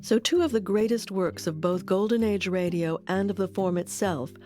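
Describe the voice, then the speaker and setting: A woman narrating a radio-history documentary in a measured, expressive scripted read. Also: mid-range voice. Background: music.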